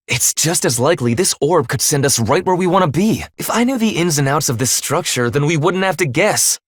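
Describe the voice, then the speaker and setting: A man's voice: medium pitch, husky, no echo, clean studio sound. A man voicing a stern, blunt young half-human leader in a video game, speaking in a clipped, formal, commanding way. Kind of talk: English video game voice acting.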